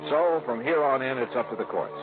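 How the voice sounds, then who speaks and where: A man playing a brisk, confident, wisecracking insurance investigator in a 1950s radio drama. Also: mid-range voice, music in the background, natural voice. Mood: wry narrating. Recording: tinny, noisy vintage radio recording.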